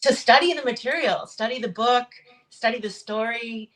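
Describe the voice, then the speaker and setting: A woman's voice: a little high, moderate pace. A woman speaking as a guest voice actor in a casual interview, earnest and reflective, often checking her points with a short tag question. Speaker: guest voice actor, audiobook narrator.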